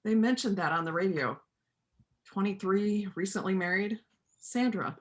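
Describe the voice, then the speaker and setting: A woman's voice: medium pitch, normal speed, lightly acted. A woman in a readers' theater play acting a stern, practical rural veterinarian who talks in a terse, matter-of-fact way. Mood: stern, accusing.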